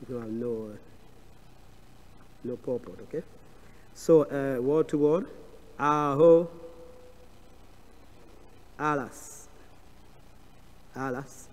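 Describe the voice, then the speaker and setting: A man with a strong French accent giving a devotional scripture class, speaking in a halting way with frequent hesitations and restarts. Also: mid-range voice, darkish tone, a little hiss or hum. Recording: long reverb of a large hall.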